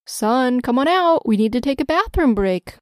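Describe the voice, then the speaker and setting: A woman's voice: high voice, lively intonation, moderate pace. A woman doing a coaxing dad. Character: patient father waking his son.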